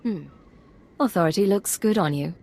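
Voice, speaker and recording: A woman's voice: medium pitch, moderate pace, a little mellow, close to an everyday voice. An actress playing a self-assured female secret agent in a video game, controlled and coolly persuasive. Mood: cool, wry.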